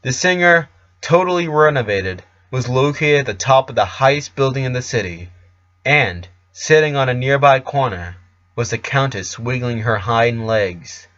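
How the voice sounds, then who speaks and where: A man's voice: medium pitch, lively intonation, normal speed, fairly bright tone, highs cut off. A man reading scripted narration as the storyteller of a fictional tale.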